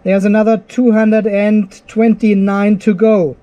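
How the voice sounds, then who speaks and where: Older man, high voice, casual vlog style, chatty, informal step-by-step technical explanation. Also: flat delivery, a little slow, dark tone. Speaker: host of off-grid DIY channel. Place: cluttered garage workshop, indoors.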